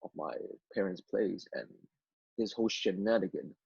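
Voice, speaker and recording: Gravelly halting man from Hong Kong. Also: low voice, a little mellow, dry recording, dull audio. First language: Cantonese. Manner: halting, repeats words, many fillers. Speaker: interview guest. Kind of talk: testimony interview.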